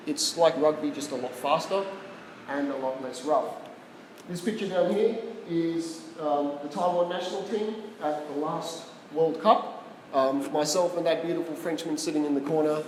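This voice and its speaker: A man's voice: fairly high pitch, darkish tone, slight background noise. Australian male presenter, chatty and casual, in a roomy hall with a long reverb tail. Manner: casual, chatty, asks the audience questions. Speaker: tech talk presenter. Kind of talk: live presentation to a small audience. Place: meeting room with an audience.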